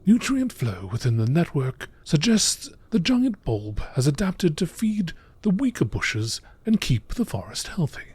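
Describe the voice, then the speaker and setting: A man narrating scripted nature documentary entries in a measured, formal, deliberate way, with a husky, breathy voice. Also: a little high, very animated, a little mellow. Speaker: narrator reading game lore entries.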